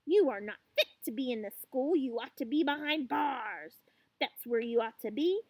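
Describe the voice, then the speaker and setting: A woman's voice: high voice, very animated, unhurried, dry recording. A woman voicing a furious, tyrannical headmistress who loathes children, haranguing and menacing, in a storybook reading. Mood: furious tirade. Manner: haranguing, barking, grandly menacing.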